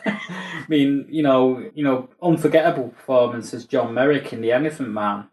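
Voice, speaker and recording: A man chatting casually about film and TV as a podcast host, with a rambling, halting delivery. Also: mid-range voice, darkish tone. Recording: roomy, echoey voice recording.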